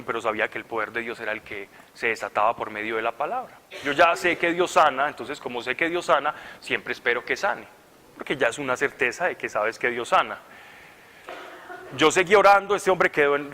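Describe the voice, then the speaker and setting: Forceful man preaching in an echoey hall. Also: mid-range voice, a little hiss or hum. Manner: animated, conversational, laughing at his own stories. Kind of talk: sermon with storytelling. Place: large hall at a retreat.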